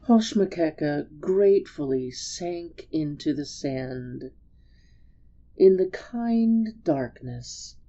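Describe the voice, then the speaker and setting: A woman narrating a storybook as a dramatic reading, measured and expressive. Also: lowish voice, highs cut off.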